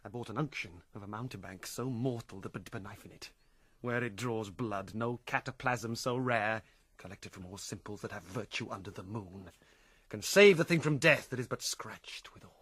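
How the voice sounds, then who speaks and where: A man's voice: fairly low pitch, normal speed, fairly bright tone, husky. An actor playing a passionate, vengeful young nobleman in a Shakespearean radio drama, intense and dramatic. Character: vengeful young nobleman, passionate, hot-blooded, bereaved son and brother.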